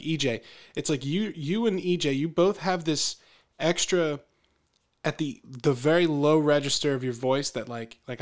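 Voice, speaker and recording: A man co-hosting a podcast, talking animatedly in casual conversation and often restarting his phrases. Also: on the high side. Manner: animated, repeats himself while searching for words. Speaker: podcast co-host.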